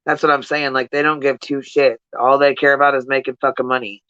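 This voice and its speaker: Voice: mid-range voice, flat delivery, brisk, sharp tone, clean tone, no echo, dull audio. A livestream host giving casual, blunt commentary to viewers in slangy, profanity-laced speech, often repeating points for emphasis.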